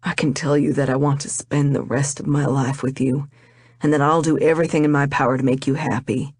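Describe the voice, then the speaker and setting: A woman voicing an earnest young man proposing, speaking softly and deliberately. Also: low voice, little pitch movement, husky, no echo, clean studio sound. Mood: tender. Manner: soft, heartfelt, deliberate.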